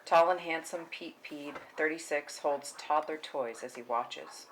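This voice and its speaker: A woman's voice: deep voice, measured pace, a little hiss or hum, rough recording. A woman narrating a film script's stage directions at a table read.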